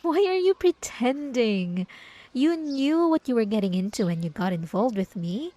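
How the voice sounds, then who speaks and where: A woman playing a possessive, obsessive ex-girlfriend in an ASMR roleplay, with drawn-out, cooing condescension and sweet menace. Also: a little high, very animated, mellow, dry recording. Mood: wounded, insistent. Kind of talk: ASMR roleplay monologue to the listener.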